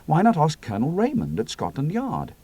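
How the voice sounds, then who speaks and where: A man's voice: fairly high pitch, huge pitch swings, fairly quick, mellow, slightly rough, pitched above the performer's own voice, heavily acted. A man doing a wry, easygoing pilot. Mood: puzzled.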